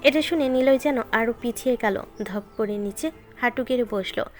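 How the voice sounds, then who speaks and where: A woman's voice: on the high side, normal speed, a little mellow. A woman reading scripted narration of a romantic story, with music behind her voice.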